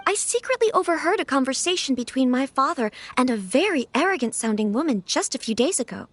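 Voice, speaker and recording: A woman playing a polite, earnest young noble daughter in an anime game, speaking in a formal, courteous, measured way. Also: high voice, lively intonation, dry recording. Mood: confiding.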